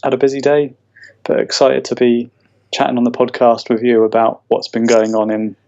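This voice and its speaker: A man co-hosting a podcast in an easygoing, wry way, with short relaxed replies. His sound is dull and slightly muffled, like a cheap mic. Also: medium pitch, moderate pace. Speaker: podcast co-host. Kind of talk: casual chat.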